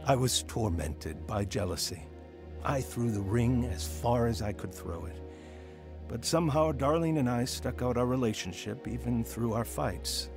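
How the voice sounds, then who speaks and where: A man's voice: medium pitch, measured pace, natural voice. An actor playing a reflective, remorseful man who narrates his own youth in a radio drama. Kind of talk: scripted first-person drama narration. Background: music.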